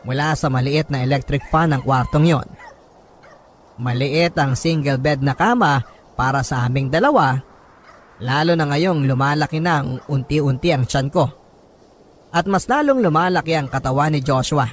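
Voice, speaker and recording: A man with a Filipino accent reading a first-person story as a radio drama host, in an emotive, sorrowful storytelling manner. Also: fairly high pitch. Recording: music bed under the voice. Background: background music.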